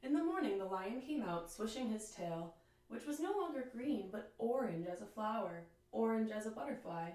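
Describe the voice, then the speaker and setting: A woman's voice: fairly high pitch, animated, normal speed, darkish tone, a little hiss or hum. Woman reading a storybook in an echoey room. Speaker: teacher reading aloud to children. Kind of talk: children's storybook read-aloud. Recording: echoey room, distant microphone.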